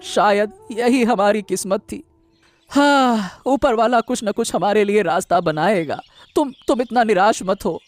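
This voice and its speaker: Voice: medium pitch, dry recording, natural voice. Someone voicing a wailing, pleading poor fisherman. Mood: consoling. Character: poor male fisherman, upset but hopeful.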